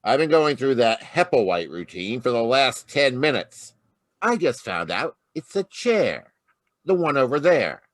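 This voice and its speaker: An actor playing a weary, grouchy, sarcastic homicide lieutenant in an old-time radio detective play. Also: male voice, mid-range voice, big pitch swings, a little slow, no echo. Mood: sour, sarcastic. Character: grumpy, weary male police homicide lieutenant. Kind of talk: 1940s detective radio acting.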